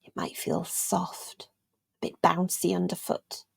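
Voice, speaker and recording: A woman speaks as a meditation guide, slow and soothing with long pauses. Her voice is husky and very creaky, often fading toward a whisper. The sound is close and dry. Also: fairly low pitch, flat delivery, dry recording. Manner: gentle, soothing, unhurried with long pauses, trailing into near-whisper. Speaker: meditation guide, yoga teacher.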